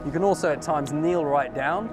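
A man's voice: fairly high pitch, normal speed. A man in his thirties giving an informal, step-by-step instructional demonstration outdoors, explaining as he goes into a clip-on mic. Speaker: sailing instructor. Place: outdoors at a marina, on a yacht deck and dock. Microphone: clip-on lapel mic. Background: music.

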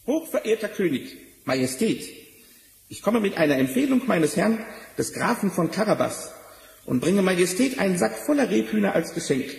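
An actor voicing a boastful, cheerful talking tomcat in a theatrical fairy-tale radio play from the seventies. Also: male voice, high voice, moderate pace, creaky, breathy edge, full character voice. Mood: flattering. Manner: theatrical, self-satisfied, flattering. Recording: noisy old vinyl record rip.

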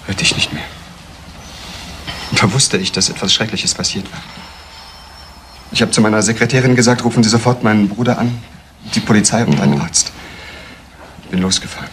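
A man's voice: on the low side, fairly bright tone, slight background noise. A man playing a shaken, disbelieving husband of a murdered woman in a German crime radio play, on an old recording.

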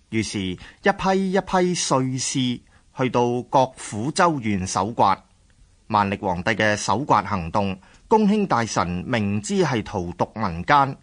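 A man narrates a history drama in a formal storytelling voice. He is unhurried and expressively inflected, with soft music underneath. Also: mid-range voice.

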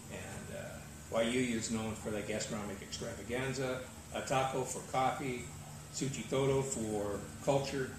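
Older man, easygoing, echoey distant sound. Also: mid-range voice. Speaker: cruising rally organizer. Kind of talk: relaxed interview answers. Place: open-air veranda, tropical. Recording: echoey, distant, noisy outdoor veranda.

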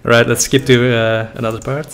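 A man's voice: mid-range voice, flat delivery, normal speed, fairly bright tone. Joking Flemish man reacting to old videos. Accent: Dutch-speaking Belgian. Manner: laughing, joking, loose and profane. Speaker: YouTuber. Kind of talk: reaction commentary. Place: small room indoors. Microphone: desk boom mic with headphones.

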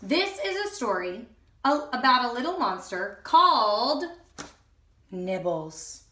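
A young woman reading a picture book aloud expressively, the way one does for small children. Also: on the high side. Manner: expressive, slow and deliberate for children. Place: indoors in a room at home. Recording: echoey room sound.

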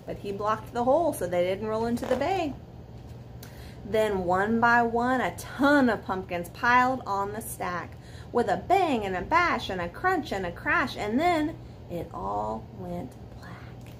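A woman in her forties with a somewhat high voice reads a bedtime story to children. She stresses words dramatically and slips in asides and questions for her listeners. It is a home recording in a living room, with faint noise behind her. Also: measured pace. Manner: expressive, dramatic emphasis with questions to young listeners. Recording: clear background noise, room ambience.

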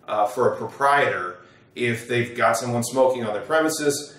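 A man's voice: mid-range voice, moderate pace, a bit bright. American man, distant and echoey. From United States. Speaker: attorney, presenter. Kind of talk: informal explainer to camera. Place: small wood-panelled office, indoors. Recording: roomy, echoing sound from a distance.

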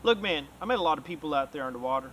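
A man's voice: a little high, rapid-fire, a little hiss or hum. A man, talking casually and energetically to the camera outdoors by a bay. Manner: energetic, loose, swears casually. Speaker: vlog host.

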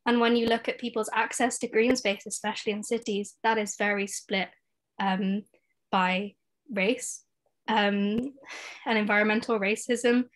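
A woman's voice: medium pitch, fairly even intonation, a little slow. A young woman speaking earnestly as an activist on a video-call discussion panel.

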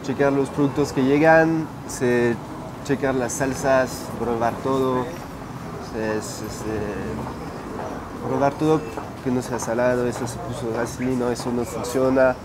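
A man's voice: medium pitch, fairly even intonation, slow pace. A man in his twenties from France speaking Spanish with a French accent, answering interview questions as a chef, hesitant with many fillers. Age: 20s. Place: restaurant dining room, indoors. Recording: noisy restaurant ambience.

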